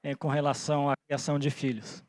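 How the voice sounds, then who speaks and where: A pastor in his forties, born in Japan and raised in Brazil, preaching in an earnest way that pauses often for "né?" and thinks aloud. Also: a little high, little pitch movement, a little mellow, no room sound. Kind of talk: sermon. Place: church.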